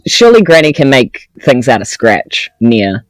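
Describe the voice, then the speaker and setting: Animated, dry-sounding woman chatting. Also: rumbling low, normal speed, no echo, soft background music, poor audio. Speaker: player in a tabletop game podcast. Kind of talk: casual unscripted chat.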